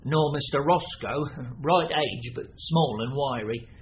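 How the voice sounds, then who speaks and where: An actor playing a fussy, cold British chiropodist in a radio drama, prim and somewhat hesitant. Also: male voice, medium pitch, slow pace, lightly acted. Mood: hesitant, pedantic. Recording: muffled radio recording, faint noise.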